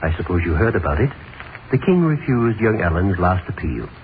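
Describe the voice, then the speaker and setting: A friendly prison warden who chats in a plain, matter-of-fact way. His voice is low but moves a lot. The sound is thin and faintly hissy, like a 1930s radio broadcast. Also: very animated, normal speed, phone-quality sound. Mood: chatty. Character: friendly middle-aged male prison warden.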